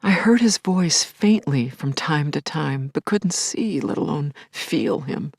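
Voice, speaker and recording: A grieving, yearning widow in middle age, confides her story in an intimate audio play monologue. Her voice is husky and breathy, close and dry, often sinking toward a whisper. She speaks slowly and haltingly, with tender feeling. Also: deep voice, expressive, darkish tone, dry recording, full character voice. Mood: bereft, recounting. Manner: confiding, halting, emotionally charged. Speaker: lead actor in an audio play. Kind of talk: intimate first-person audio drama monologue.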